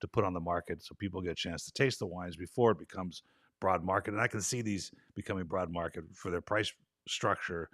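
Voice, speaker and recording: A male host in a casual interview chat, a little raspy from travel, chatty and rambling. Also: deep voice, a little fast, fairly bright tone, no room sound, studio quality. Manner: chatty, rambling, laughs easily.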